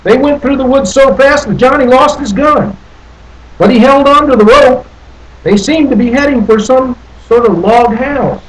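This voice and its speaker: A man's voice: very high voice, moderate pace, low-quality recording. A man reading a children's story aloud expressively, theatrically lingering over phrases. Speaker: storybook reader. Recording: noticeable background noise.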